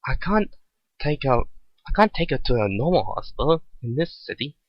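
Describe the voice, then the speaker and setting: A man voicing a kind, curious young woman mechanic in a story reading, earnest and halting. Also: medium pitch, very animated, dry recording. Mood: worried. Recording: dull lo-fi recording.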